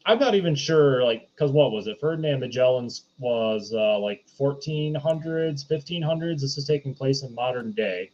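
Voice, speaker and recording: A man in casual debate as a podcast panelist, halting and repeating himself for emphasis, on a slightly dull recording. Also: medium pitch, animated, a little mellow. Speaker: podcast panelist reviewing films.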